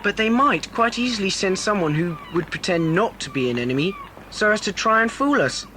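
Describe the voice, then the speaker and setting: A man's voice: sits high, lively intonation, a little fast, sharp tone. A man playing a sensible, cautious boy with a British accent in a children's audio adventure. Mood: wary, reasoning. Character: sensible, wary boy adventurer reasoning things out. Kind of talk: acted children's audiobook dramatisation. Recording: noisy old cassette recording.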